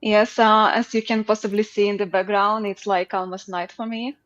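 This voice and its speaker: Developer, female, mid-pitched, chatty, muffled lo-fi audio. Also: flat delivery, moderate pace. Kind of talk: casual livestream chat. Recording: dull, slightly lo-fi sound.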